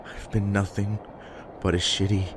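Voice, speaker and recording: A man playing a guilt-ridden, self-loathing young survivor in an emotional audio drama, with a halting, bitter delivery. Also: on the low side, flat delivery, noisy background, close to an everyday voice. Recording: prominent music behind the voice.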